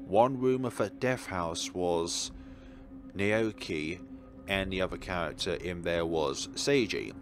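A man giving let's play commentary as host, speaking in deliberate, halting phrases. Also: deep voice, background noise.

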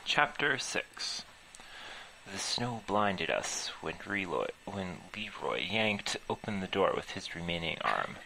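Bright-voiced man reading a story aloud. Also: low-pitched, big pitch swings, slow, vocal fry, a little hiss or hum, low-quality recording. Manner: stumbles over words, breaks into laughter mid-reading. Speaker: author reading own story. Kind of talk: amateur story narration.

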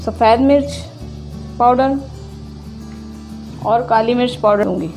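A woman hosting a home cooking video, calmly explaining each step as she cooks in her kitchen. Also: on the high side, a little slow, soft background music. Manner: calm, methodical, names each step as she works. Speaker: cooking channel host.

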